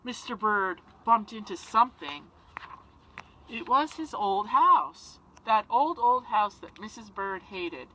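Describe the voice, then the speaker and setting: A woman reading a children's story and chatting, expressive, with simple child-directed phrasing. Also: mid-range voice, slow pace, a little hiss or hum, rough recording. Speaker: story-time reader for children.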